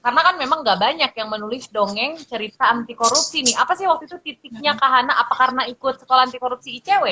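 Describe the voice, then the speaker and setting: A woman's voice: high-pitched, quick pace, hardly any pauses, bright, forceful tone, highs cut off. A woman hosting an interview in a casual, conversational style. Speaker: host interviewer.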